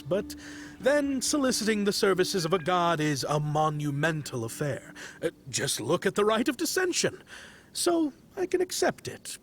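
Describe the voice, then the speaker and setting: An actor playing a chatty, deferential merchant in a video game, explaining things matter-of-factly. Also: male voice, fairly high pitch, slight background noise. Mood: reasoning, deferential. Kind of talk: video game character acting.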